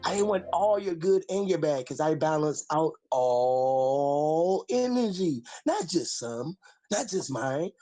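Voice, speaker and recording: A man's voice: a little high, animated, takes it slowly, mellow, faint music behind. A man in a put-on Caribbean island accent, rambling and boasting in profane casual chat on a call-in show.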